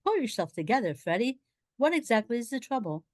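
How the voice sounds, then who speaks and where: Woman playing breezy gentleman chum, dry close sound. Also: a little high, very animated, fairly quick, no echo. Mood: amused disbelief. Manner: jaunty, mocking, old-fashioned posh diction. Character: breezy, teasing young upper-class gentleman chum, amused and worldly. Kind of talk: comic period radio play acting.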